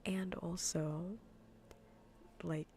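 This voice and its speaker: A woman livestreamer chatting casually in a soft, breathy, often near-whispered ASMR style, close to the microphone, languid, teasing and blunt. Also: on the low side, little pitch movement, extremely slow. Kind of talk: soft-spoken ASMR, casual livestream chat while gaming. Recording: faint background noise.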